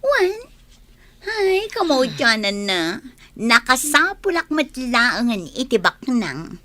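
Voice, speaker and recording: A woman's voice: high voice, big pitch swings, slow pace, sharp tone, no room sound, exaggerated voice. A woman playing an ambitious, boastful young village woman in a radio drama, with an Ilocano accent and exclamatory delivery. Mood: boastful, pleased. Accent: Ilocano, from the Philippines. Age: young adult.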